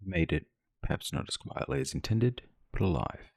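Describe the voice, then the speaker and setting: A man narrating game quest logs in a relaxed, scripted storytelling style. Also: deep voice, moderate pace, creaky, husky, dry recording, studio quality. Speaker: gaming video creator narrating.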